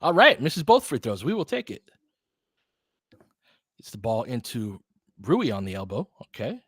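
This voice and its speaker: Male basketball play-by-play commentator, medium pitch, clipped and informal delivery, dry close sound. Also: wildly swooping pitch, unhurried, fairly bright tone, slightly rough, dry recording. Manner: clipped phrases, informal asides.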